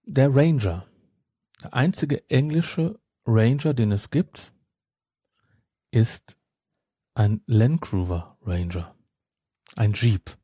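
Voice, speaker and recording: Husky, mellow man explaining casually. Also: medium pitch, slow, long pauses, no room sound, studio quality. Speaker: podcast co-host. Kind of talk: casual fan discussion.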